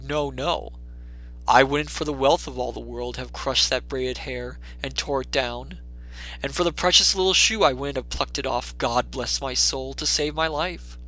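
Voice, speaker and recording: A man's voice: mid-range voice, sharp tone, low-quality recording. A man reading a classic story aloud as a storyteller, in theatrical, scripted dramatic narration.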